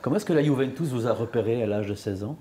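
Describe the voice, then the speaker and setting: A male sports interviewer with a mid-pitched, mellow voice puts short, direct questions to his guest. He follows up on answers and presses for detail. Faint hiss sits behind him. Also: fast talker.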